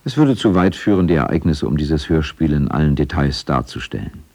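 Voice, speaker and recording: Low-voiced man narrating matter-of-factly. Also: very animated, a little fast, creaky, darkish tone. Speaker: second narrator of a radio documentary. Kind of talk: scripted narration.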